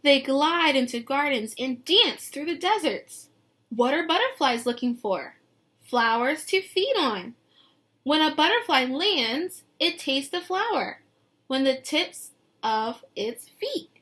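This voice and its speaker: A woman teacher reading a picture book aloud to young children, expressive and sing-song, pausing to put questions to them. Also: high-pitched. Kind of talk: read-aloud lesson with questions to young listeners.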